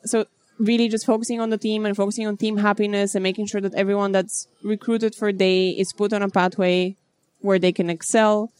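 A woman from Bulgaria in her thirties, a startup founder answering interview questions in a measured, businesslike way. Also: medium pitch, level tone, darkish tone, smooth, dry recording.

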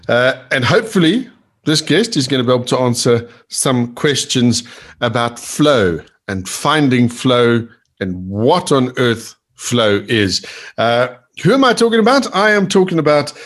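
A man's voice: mid-range voice, fairly bright tone. Upbeat chatty male interview host. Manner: chatty, upbeat, with many fillers and restarts. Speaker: podcast host. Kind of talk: casual interview chat.

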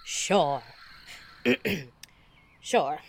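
A woman's voice: deep voice, expressive, extremely slow, vocal fry, heavily acted. A woman playing an earnest, fascinated paranormal investigator in an audio drama, explaining things like a documentary narrator. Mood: sheepish, fascinated.

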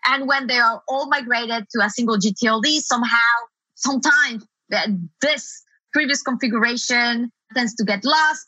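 A woman consultant from Latin America answers interview questions in Spanish-accented speech. Her voice is bright and forceful, set a little high, with an animated, emphatic way of explaining. The sound is close and dry. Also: no echo, poor audio. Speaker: guest SEO consultant.

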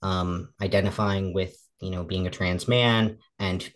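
A woman in her twenties with a relatively deep voice, a guest talking casually in an interview. She acts out the remarks she quotes and talks with many fillers and restarts. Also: steady tone, a little slow. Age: 20s.

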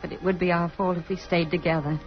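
A woman's voice: lowish voice, steady tone, fast, faint music behind, lightly acted. An actress in an old-time American radio drama, playing an earnest woman in love and torn by conscience. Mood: pained, tender. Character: earnest, lovestruck female concert musician, torn by conscience. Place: radio theatre stage. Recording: muffled, hissy vintage radio recording.

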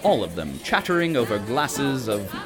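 A man's voice: medium pitch, very animated, moderate pace, over music, crowd noise. A man narrating an audio drama in a wry, theatrical storytelling manner.

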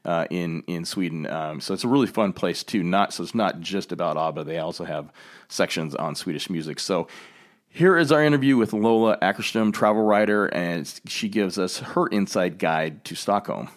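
Deep-voiced American man hosting a chat. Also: expressive, moderate pace. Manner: rambling, many restarts and fillers. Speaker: podcast host. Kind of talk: casual travel chat.

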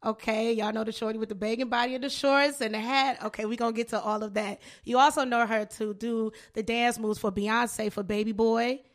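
A woman's voice: on the high side, fairly even intonation, a little fast, hardly any pauses. A woman hosting a podcast, talking in a playful, hyped, slangy way as she interviews a guest. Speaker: podcast host. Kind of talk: casual interview chat.

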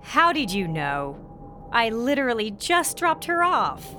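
A woman's voice: a little high, sing-song, takes it slowly, bright, forceful tone, a little hiss or hum, full character voice. An actress playing an intense, wry, guarded young woman in her twenties, in natural audio drama dialogue.

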